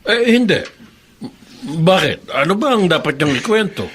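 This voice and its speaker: A man's voice: high-pitched, very animated, a little slow, a bit bright, a little hiss or hum, heavily acted. An actor playing an easygoing, amused middle-aged father in a radio drama. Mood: easygoing, curious. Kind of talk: Filipino radio drama acting.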